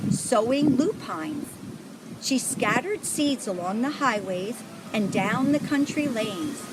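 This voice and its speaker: A woman reading a children's picture book aloud, pausing to point things out to young listeners. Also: on the high side, poor audio. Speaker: storybook reader.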